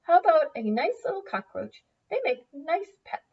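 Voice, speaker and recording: A woman's voice: sits high, big pitch swings, moderate pace, muffled recording, voice pushed up. A woman voicing an opinionated, matter-of-fact old cave granny for a storybook, in a distant recording.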